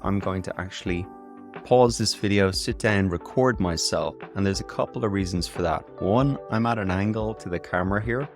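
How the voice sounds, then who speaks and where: Deep-voiced Irish man, casual tutorial. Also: normal speed, soft background music. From Ireland. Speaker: tutorial video host.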